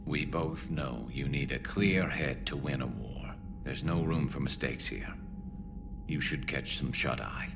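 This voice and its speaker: Very deep, gravelly alien soldier. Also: male voice, a little slow, noisy background, exaggerated voice. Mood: concerned, wry. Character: loyal, dry-witted alien soldier, concerned comrade. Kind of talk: video game cutscene acting.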